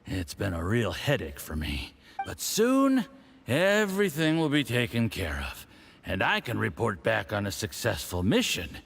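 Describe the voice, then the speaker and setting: An actor playing a smug, scheming foreign diplomat with a patronizing, mocking manner. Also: male voice, lowish voice, wildly swooping pitch, unhurried, gravelly, fairly bright tone, a little hiss or hum, exaggerated voice.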